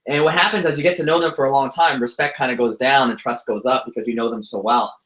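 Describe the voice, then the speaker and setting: A young man in his twenties gives a motivational talk and keeps slipping into self-deprecating jokes. His voice is bright and forward, at a middling pitch. The sound rings in an echoey room. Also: steady tone, fast talker, highs cut off. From United States. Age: 20s. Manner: joking, self-deprecating storytelling. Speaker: motivational speaker. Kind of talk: motivational speech to an audience.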